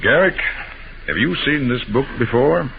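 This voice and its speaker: A man playing a confident, sharp-minded master detective in an old-time radio mystery, explaining and questioning in an assured, methodical way. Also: medium pitch, animated, measured pace, creaky. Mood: probing, cool. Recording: dull, noisy old radio broadcast recording.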